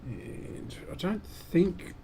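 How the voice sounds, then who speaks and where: A man with a mellow, mid-pitched voice hosts a hands-on repair stream. He speaks slowly and haltingly, half muttering to himself as he works. There is faint noise in the background. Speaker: host of an electronics repair stream. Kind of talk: unscripted workbench commentary while working.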